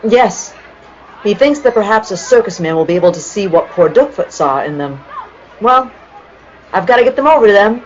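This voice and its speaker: A woman's voice: medium pitch, lively intonation, moderate pace, some chatter behind, natural voice. A woman playing a trusting, easily startled young sweetheart heroine in an old-time radio play. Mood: trusting, flustered. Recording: muffled, lo-fi audio with noise.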